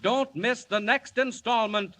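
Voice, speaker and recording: A man's voice: very high-pitched, unhurried, bright, forceful tone. A man announcing a 1940s radio serial in an urgent, declamatory dramatic narration. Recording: noisy 1940 radio recording.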